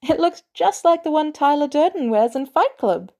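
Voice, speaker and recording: A woman voicing an eager, excitable teenage boy in a story reading, wide-eyed and a little shy. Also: sits high, normal speed, pitched above the performer's own voice. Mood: surprised.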